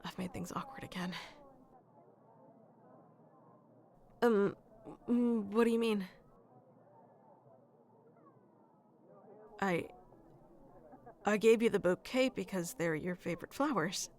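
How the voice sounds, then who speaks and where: A woman's voice: mid-range voice, normal speed, darkish tone, slight background noise, close to an everyday voice. A woman playing a shy, sweet, lovestruck florist in a romantic ASMR roleplay, speaking hesitantly with nervous stammers. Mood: nervous, apologetic.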